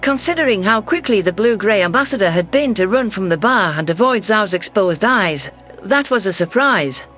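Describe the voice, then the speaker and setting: A man's voice: high voice, expressive, normal speed, bright, forceful tone, faint music behind. A man narrating a science fiction story in the first person, reading a scripted text.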